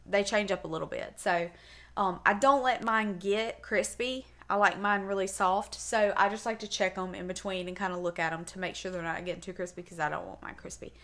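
A woman's voice: medium pitch, steady tone, fairly quick, fairly bright tone. A middle-aged woman talking in a casual, folksy way to a camera a step or two away in her home kitchen, as host of a cooking demonstration. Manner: chatty, folksy, informal slangy phrasing. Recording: clearly noisy home recording with clicks.

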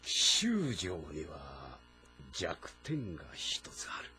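A smug, arrogant strategist of ancient China, with a lowish voice. He talks in a haughty, drawn-out way in a historical game drama, with music clearly playing behind him. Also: huge pitch swings, sharp tone, heavily acted. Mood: smug, sly. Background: background music.

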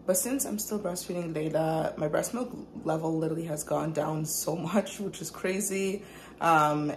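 A woman's voice: low-pitched, creaky, darkish tone, slight background noise, rough recording. A woman in her thirties chatting candidly to her phone camera, tired but open, vlogging from her car and kitchen. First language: English. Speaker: vlogger, mother. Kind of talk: casual vlog chat. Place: in a parked car and a home kitchen. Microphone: phone held at arm's length.

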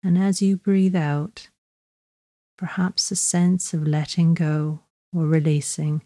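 A woman leads a guided body scan meditation. Her voice sits low and is soft-edged and dark. She speaks slowly and gently, in measured instructions with long pauses, in a very dry recording. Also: little pitch movement, no room sound.

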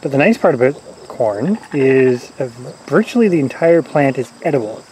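Man in his forties, homestead vlogger, relaxed rambling talk, arm's-length camera mic, outdoors, faint crickets behind. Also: mid-range voice, normal speed, low-quality recording. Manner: relaxed, rambling, frequent "uh" fillers and chuckles. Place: outdoors in a corn garden. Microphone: camera-mounted mic at arm's length. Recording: faint outdoor insect noise. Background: crickets and insects.

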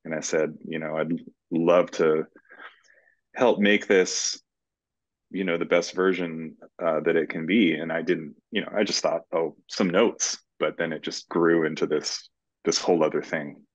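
Male interview guest, very low voice, halting conversational delivery, dry and slightly muffled video-call sound. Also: steady tone, no echo. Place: indoor room, video call. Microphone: video call microphone.

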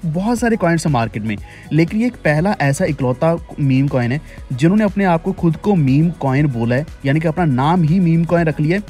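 A man's voice: on the high side, dark tone. A man hosting a crypto channel, explaining a screen recording in a repetitive, urging way. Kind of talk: screen-recorded tutorial talk.

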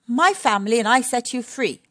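A stern, proud mother warthog from a storybook, scolding sharply and full of indignation, as a woman puts her on. Her voice sits high and loud, with lively swings of pitch. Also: brisk, no room sound, clean studio sound. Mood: indignant.

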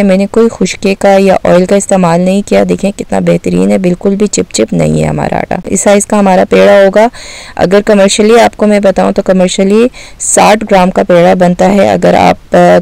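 Gravelly-voiced woman explaining a recipe. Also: medium pitch, dry recording. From Baltistan. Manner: calm, explanatory, addresses viewer directly. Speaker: cooking channel host. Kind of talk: step-by-step recipe instruction.

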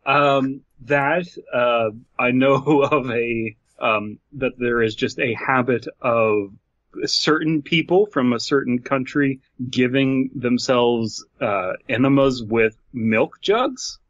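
A man's voice: mid-range voice, slow pace, fairly bright tone, no echo. A man co-hosting a podcast, chatting casually and reading out headlines. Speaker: podcast co-host. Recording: dull lo-fi, dry.

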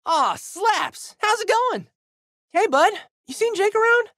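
A man's voice: very high voice, animated, measured pace, bright, forceful tone, dry recording, clean studio sound, full character voice. A man voicing a plucky, goofy teenage boy hero with slangy, exuberant delivery for a video game. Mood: upbeat, chatty. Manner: exuberant, slangy surfer-dude boyishness. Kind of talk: video game character voice lines.

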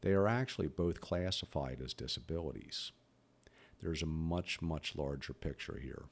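A man's voice: extremely low pitch, moderate pace. A man in his fifties who stutters, talking bluntly and candidly about his own life as a podcast host. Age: 50s. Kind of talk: personal reflective monologue.

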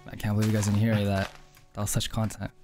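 A man's voice: on the low side, completely flat delivery, racing, darkish tone, faint music behind. A man hosting a gaming livestream, joking and laughing with friends and his chat. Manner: playful, joking, laughs often. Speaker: streamer, host.

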